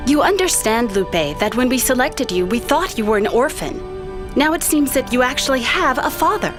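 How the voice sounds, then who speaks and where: A woman playing a composed, formal attendant and official in an audio drama, with measured, instructive delivery. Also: high-pitched, lively intonation, over music. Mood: officious.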